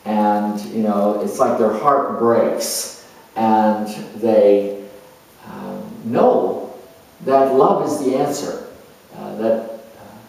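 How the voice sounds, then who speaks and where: A man playing an earnest, reflective spiritual seeker in a dramatized monologue, speaking haltingly and conversationally with pauses. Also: fairly low pitch, vocal fry, a little mellow, full character voice. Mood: earnest, wondering. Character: earnest spiritual seeker, male adventurer turned motivational broadcaster. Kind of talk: monologue in character, delivered conversationally. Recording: echoey room, distant microphone.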